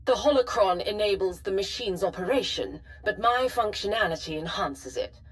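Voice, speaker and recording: A woman playing a formal, measured artificial personality stored in an ancient archive, precise and helpful, in a video game. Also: medium pitch, expressive, lightly acted. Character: calm, formal female personality matrix of a Sith holocron. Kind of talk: scripted video game voice acting.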